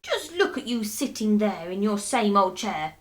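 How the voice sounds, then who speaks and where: Bullying storybook husband, voiced by a woman: spiteful, taunting, slow and emphatic, savoring every threat. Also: medium pitch, sing-song, a bit bright. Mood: accusing. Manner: taunting, drawn-out mock solemnity.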